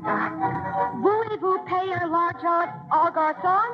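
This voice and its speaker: A woman's voice: extremely high pitch, slow pace, loud music behind the voice. An actress playing a timid young woman hoping to dance in the chorus, in a 1940s radio drama on a dull old broadcast. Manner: timid, hesitant. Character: shy young girl, a hopeful chorus dancer.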